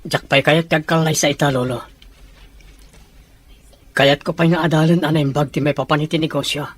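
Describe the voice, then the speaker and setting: A man's voice: fairly high pitch, steady tone, moderate pace, natural voice. Young man, firm company boss acted by a man, audio drama dialogue, everyday voice. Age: young adult.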